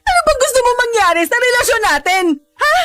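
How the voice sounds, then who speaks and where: A woman's voice: very high-pitched, animated, fast, bright, forceful tone, dry recording, soft background music, full character voice. An actress with a Filipino accent playing a hurt, clingy young girlfriend in a radio drama. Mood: tearful, upset.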